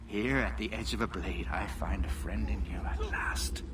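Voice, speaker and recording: A man's voice: fairly low pitch, normal speed, far from the mic, background noise, close to an everyday voice. A man playing a haughty, self-righteous villain in a video game, pompous and full of disdain. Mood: bitter, strained. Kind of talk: video game cutscene acting.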